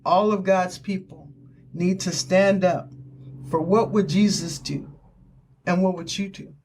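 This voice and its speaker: Slow-spoken older woman giving earnest testimony. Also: lowish voice, steady tone, darkish tone, slight background noise. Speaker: author giving her testimony.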